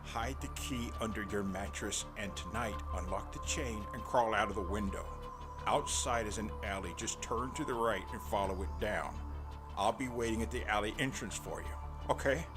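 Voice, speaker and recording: A man's voice: on the low side, moderate pace, natural voice. A man voicing a corrupt police detective, a desperate, possessive lover who pleads intensely, over an audio drama's music. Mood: urgent pleading. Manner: pleading and intense, swinging from hushed to shouting.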